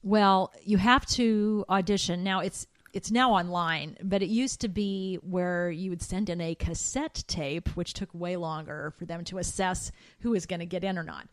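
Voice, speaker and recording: A middle-aged woman, a trained soprano, recalling her past in an animated way, with fillers and restarts. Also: moderate pace, few pauses, dry recording. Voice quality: high soprano singer. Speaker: guest. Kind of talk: conversational interview.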